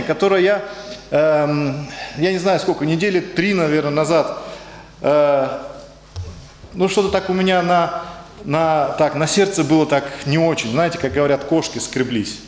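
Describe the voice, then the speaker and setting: A man's voice: a little high, slight background noise. A man preaching a conversational sermon in speech, hesitant and searching for words, and putting questions to his congregation. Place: church hall. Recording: echoey hall with faint ambience.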